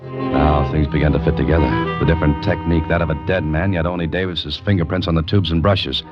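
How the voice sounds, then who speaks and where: An actor playing a determined amateur investigator in a 1940s radio mystery, narrating and questioning in a terse, hardboiled way. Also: male voice, very deep voice, fairly even intonation, fast, over loud music. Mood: brisk narrating. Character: deep-voiced, determined male amateur investigator narrating his case. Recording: dull vintage radio recording.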